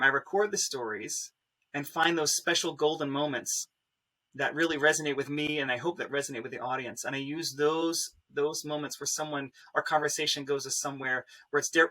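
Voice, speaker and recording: Bright-voiced man, higher pitched, speaking earnestly. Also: poor audio. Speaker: panelist, pianist-composer. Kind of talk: earnest spoken introduction on a panel.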